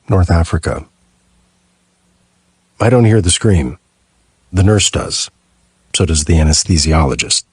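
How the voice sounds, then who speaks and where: Very deep, husky male narrator. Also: no room sound, studio quality. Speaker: audiobook narrator. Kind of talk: scripted first-person thriller narration.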